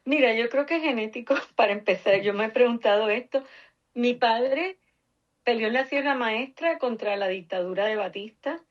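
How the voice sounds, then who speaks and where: A woman's voice: on the high side, normal speed. Older Cuban-born woman with a Puerto Rican lilt, earnest interview guest, muffled lo-fi audio. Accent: Puerto Rican Spanish. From Cuba. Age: 60s. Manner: passionate, earnest, emphatic.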